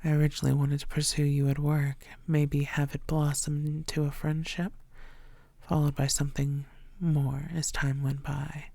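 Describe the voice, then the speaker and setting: Female vampire, played by a woman, intimate roleplay audio. Lowish-key menace, flirtatious and possessive, gravelly fry, very dry close sound, breathy half-whispers. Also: flat delivery, mellow, no room sound. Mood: menacing, coaxing. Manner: teasing, breathy half-whispers, slow deliberate delivery.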